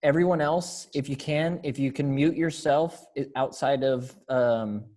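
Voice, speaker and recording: A man directing a readers theater rehearsal over a video call, talking casually in halting, hesitant phrases. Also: mid-range voice, darkish tone.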